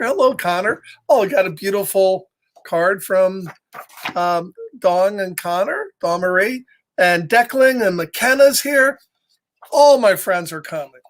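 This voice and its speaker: A man's voice: high voice, fairly bright tone. A man reading a picture book aloud to children in a playful, chatty way, breaking off casually to address his young listeners. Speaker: children's storyteller.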